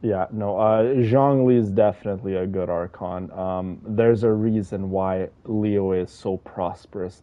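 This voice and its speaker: A man's voice: low-pitched, narrow, tinny audio. A man doing casual live game commentary and chatting with his viewers. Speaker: game streamer. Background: game music and dialogue.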